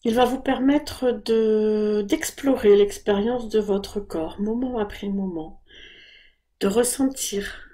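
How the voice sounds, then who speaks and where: A woman leading a guided body scan meditation in a soft, breathy voice, giving calm, gentle, unhurried instructions. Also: medium pitch.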